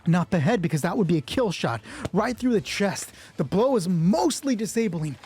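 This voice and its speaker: Male solo game master, high but mellow voice, thinking aloud through dice and story, excited and sweary. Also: normal speed, breathy edge, faint music behind. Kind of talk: improvised tabletop game narration with dice talk.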